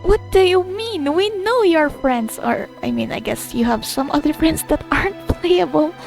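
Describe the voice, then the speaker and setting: A woman's voice: high-pitched, animated, moderate pace, gravelly, darkish tone. A young woman giving casual, chatty gameplay commentary, thinking aloud in short fragments. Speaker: game streamer. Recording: game music behind the voice.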